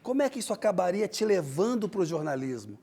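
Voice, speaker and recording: A man's voice: sits high, big pitch swings, fast, dark tone, breathy edge. A man with a Brazilian accent hosting a TV interview, asking his guest friendly, prompting questions. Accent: Brazilian Portuguese. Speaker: TV interview host.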